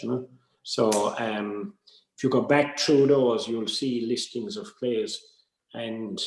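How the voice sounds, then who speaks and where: A man's voice: fairly low pitch, darkish tone. A man with an Irish accent giving an informal workshop talk in a rambling, conversational way. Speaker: workshop speaker. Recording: roomy, echoey sound.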